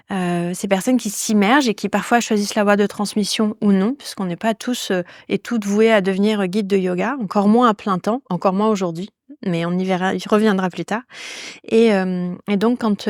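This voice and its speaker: Woman from nice, chatty yoga podcast, lots of umms, mid pitch, dead dry room. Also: dry recording. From Nice, France. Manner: unhurried, many fillers and restarts. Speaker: yoga teacher and podcast host. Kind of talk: casual solo podcast monologue.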